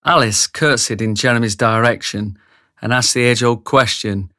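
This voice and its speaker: A man's voice: mid-range voice, moderate pace, sharp tone, no room sound, studio quality. A man reading scripted narration for a radio drama, telling the story.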